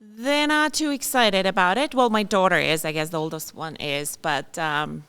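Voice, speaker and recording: A woman's voice: fairly low pitch, moderate pace, fairly bright tone, smooth. A woman in her thirties from Lithuania with a mixed Lithuanian and American accent, chatting informally and talkatively as a podcast guest. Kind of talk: casual chat.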